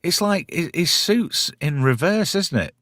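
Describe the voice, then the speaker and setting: A British man hosts a casual pop culture chat in a slangy, irreverent way. His pitch swings widely as he talks, and he swears freely. The sound is close and dry. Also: big pitch swings, unhurried, fairly bright tone, no echo. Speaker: host of a pop culture review show.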